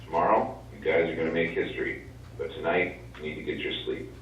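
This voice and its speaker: A man's voice: very deep voice, steady tone, brisk, slight background noise. An actor playing an authoritative, fatherly mission controller sending a message in a stage play. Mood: firm, reassuring. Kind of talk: stage acting, radio message. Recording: dull, very echoey distant stage recording.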